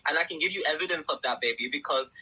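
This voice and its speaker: A young man from England tells his story as a guest in a casual podcast chat, animated and acting out what others said. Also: fairly high pitch, rapid-fire, breathy edge, low-quality recording. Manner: animated storyteller who acts out quotes.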